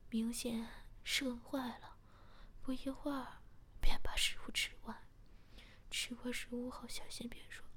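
A woman narrating an audio story entirely in a hushed whisper. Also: fairly high pitch. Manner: whispering throughout.

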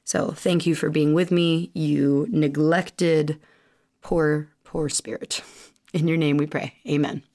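A woman's voice: low-pitched, steady tone, slow pace, darkish tone. A woman who hosts a podcast and works as a chiropractor, opening the show with a casual, irreverent spoken prayer.

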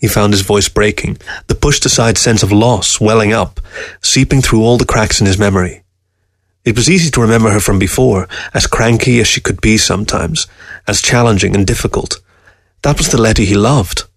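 A man narrating a scripted short story in a measured, literary delivery. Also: on the low side, gravelly, no room sound. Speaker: story reader.

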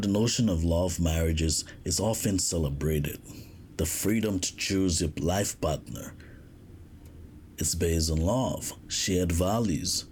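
A man talks through his podcast in a very deep voice. He speaks slowly and reflectively, pausing often and restarting his sentences as he thinks aloud. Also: darkish tone. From West Africa. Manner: reflective, unhurried, with frequent pauses and restarts. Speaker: podcast host. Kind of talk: casual podcast monologue.

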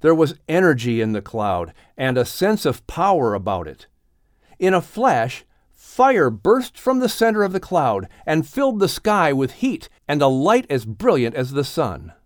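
Animated male storybook narrator, dry studio sound. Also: a little high, unhurried, dry recording. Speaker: audiobook narrator. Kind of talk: expressive scripted storybook narration.

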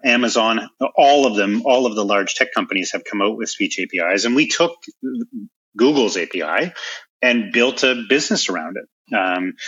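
A man's voice: lowish voice, a bit bright, breathy edge, highs cut off. A man with a Canadian accent answering interview questions as a company founder, explaining things informally through anecdotes in a conversational way. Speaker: interview guest, software company founder and chief executive.

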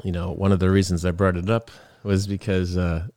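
A man's voice: deep voice, level tone, a little fast, warm tone, no room sound, clean studio sound. A man hosting a casual chat podcast, rambling and laughing often, sniffling from a cold. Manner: rambling, laughs often, trails off mid-thought. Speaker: podcast host.

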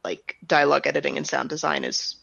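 A woman speaking as an interviewed director, explaining her creative work in a thoughtful, reflective way with softened statements. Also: deep voice, little pitch movement, a little fast, gravelly, dry recording, lo-fi sound. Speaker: audio drama director and writer being interviewed.

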